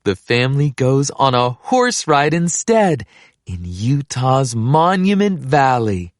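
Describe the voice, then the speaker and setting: Very slow, expressive woman reading a picture book. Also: a bass voice, no room sound. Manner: expressive, deliberate read-aloud delivery. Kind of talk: scripted children's story read-aloud.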